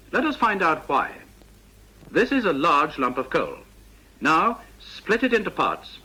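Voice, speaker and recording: A British man narrates an old instructional film in a precise, didactic style. His voice sits a little high and swings in pitch to stress key words. It is bright and forceful, with measured, scripted delivery. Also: breathy edge, low-quality recording.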